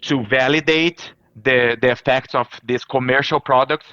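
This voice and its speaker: Bright-voiced Brazilian man, halting speech. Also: mid-range voice, fairly even intonation, clean tone, lo-fi sound. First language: Portuguese. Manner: halting, many fillers and restarts. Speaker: professor guest. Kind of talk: interview answers.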